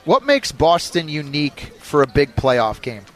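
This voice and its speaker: A man with a somewhat high voice hosts a sports radio show. He introduces segments and puts questions to his guest in an interview style. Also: very animated, a little slow.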